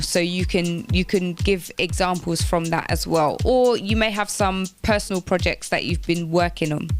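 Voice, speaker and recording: A woman presenting informal careers advice on the radio, explaining things step by step and repeating phrases for emphasis. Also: on the low side. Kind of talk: informal instructional talk on job applications.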